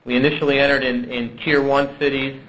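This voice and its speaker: Male corporate executive for an analyst call presentation, mid-pitched, measured and businesslike, on slightly muffled audio. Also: flat delivery, a bit bright, slight background noise.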